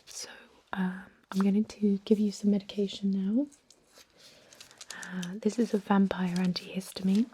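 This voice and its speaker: A woman playing a calm, reassuring ancient vampire medic in a whispered ASMR roleplay, speaking gently in a breathy whisper. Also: medium pitch, slight background noise, close to an everyday voice. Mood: soothing, reassuring. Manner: gentle, unhurried, hesitant with soft explanations. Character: calm, reassuring thousand-year-old female vampire medic.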